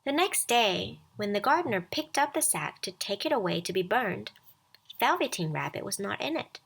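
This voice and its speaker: A woman reading a scripted children's bedtime story aloud as storyteller, expressive and deliberate. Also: mid-range voice, vocal fry, husky, low-quality recording.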